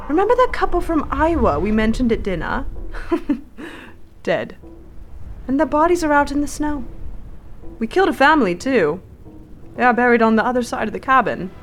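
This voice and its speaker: A woman's voice: a little high, natural voice. A woman playing a gleeful, murderous, centuries-old witch in a radio drama, mocking and theatrical as she savors her words.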